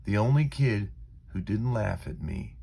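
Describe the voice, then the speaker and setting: Deep, animated male storybook reader. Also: a little slow, gravelly, breathy edge. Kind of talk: expressive children's story narration.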